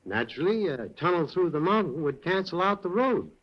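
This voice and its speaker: An actor playing a hesitant, stammering general manager in an old radio drama. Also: male voice, high voice, big pitch swings, fairly quick. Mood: uneasy. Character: nervous evasive male mill manager. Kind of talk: 1940s radio acting. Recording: dull old radio recording.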